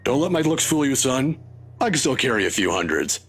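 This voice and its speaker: A man voicing an older male professor who speaks in a measured, lecturing, wry way, with a husky voice. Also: medium pitch, very animated, fairly bright tone, soft background music. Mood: hurried.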